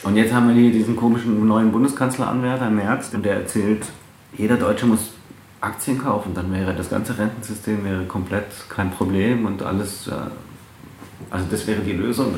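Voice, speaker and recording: In an echoey room with faint noise, a man chats in a lowish, creaky voice. He is casual, rambling and sceptical. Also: steady tone, normal speed, darkish tone. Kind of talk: casual chat.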